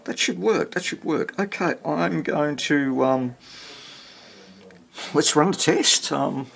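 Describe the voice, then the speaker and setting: A man's voice: on the high side, slow pace, vocal fry, fairly bright tone. A man giving casual, unscripted commentary at a workbench, rambling and thinking aloud as he works on a repair. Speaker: hobbyist radio restorer presenting own repair. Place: indoor workbench.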